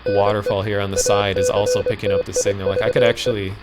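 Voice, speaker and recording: Male streamer, lowish voice, chatting informally and explaining a hobby with rambling enthusiasm. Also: wildly swooping pitch, fast, over loud music, rough recording. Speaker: livestream host. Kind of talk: casual livestream talk explaining a radio hobby.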